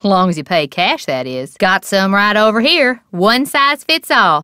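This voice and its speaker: A woman's voice: medium pitch, lively intonation, measured pace, sharp tone, smooth, no room sound, studio quality. A woman voicing a gossipy small-town bait shop keeper in a video game, with a rural American accent and a wry, opinionated, drawling manner. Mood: folksy, chatty. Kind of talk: video game character dialogue.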